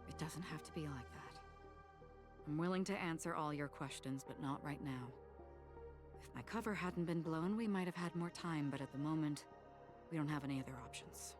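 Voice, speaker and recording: An actress playing a composed, earnest, mysterious woman warrior in a video game, formal and measured. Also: low-pitched, over music, close to an everyday voice.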